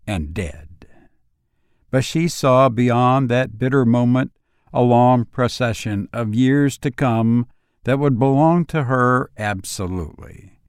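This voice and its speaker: Slow, measured male story narrator. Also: medium pitch, a little mellow, no room sound, studio quality. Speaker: audiobook narrator. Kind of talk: scripted literary story narration.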